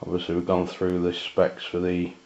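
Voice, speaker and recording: A man with an English accent giving a casual hands-on product review in a halting, matter-of-fact way. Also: low-pitched, completely flat delivery, a little mellow. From England. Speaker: amateur radio reviewer. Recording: dull, lo-fi camera sound.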